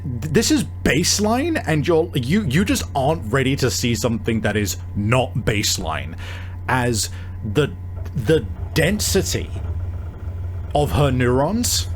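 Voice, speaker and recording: A man improvising detailed narration as game master of a tabletop game, in a halting, searching way. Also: mid-range voice.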